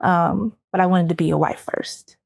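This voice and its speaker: A woman's voice: lowish voice, steady tone, moderate pace, gravelly, no room sound, clean studio sound. An American woman giving a candid, emotionally open personal account at a round-table discussion. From Baltimore, United States. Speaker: round-table panelist, army veteran, cancer survivor.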